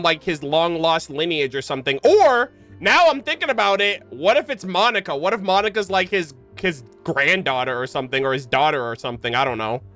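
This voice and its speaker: A man streaming a game, giving slangy casual commentary and thinking out loud. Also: high voice. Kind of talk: casual playthrough commentary, reading game text aloud. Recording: game music behind voice. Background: game music.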